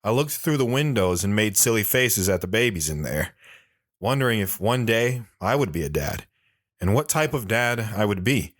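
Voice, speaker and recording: A man's voice: fairly low pitch, big pitch swings, normal speed, a bit bright, no room sound, studio quality. An actor playing a reflective grown man recounting his boyhood in a first-person audio drama.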